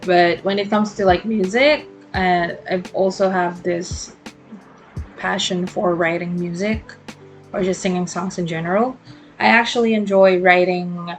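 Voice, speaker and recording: A woman's voice: lowish voice, fairly even intonation, measured pace, faint music behind. A young woman, hosting a casual solo podcast, talking in a rambling, reflective way with many fillers and self-corrections. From Philippines. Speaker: podcast host.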